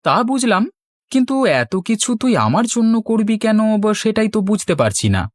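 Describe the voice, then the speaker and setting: A man's voice: high-pitched, very animated, moderate pace, no room sound, clean studio sound. A man voicing a cheeky, quarrelsome young village husband in a cartoon, with a teasing, argumentative manner. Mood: puzzled. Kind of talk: cartoon dialogue.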